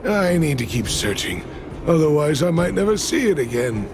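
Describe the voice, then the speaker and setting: An actor playing an earnest, weary, fatherly mine foreman in a video game, with a halting manner. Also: fairly high pitch, big pitch swings, noisy background. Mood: regretful. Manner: halting, conciliatory. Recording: game music behind.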